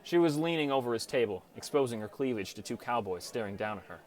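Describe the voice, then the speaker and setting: A man's voice: medium pitch, lively intonation, fast. A man reading a scripted first-person story as an audiobook narrator.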